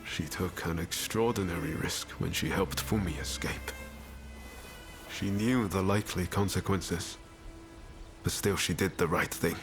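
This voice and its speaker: An earnest, thoughtful idealist in a dramatic game scene. His voice is deep and slow, confiding and reflective, with music underneath. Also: steady tone. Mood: grieving, reflective. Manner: unhurried, confiding, sighing. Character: earnest, reflective young idealist mourning a friend. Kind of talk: dramatic video game cutscene acting. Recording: music behind the voice.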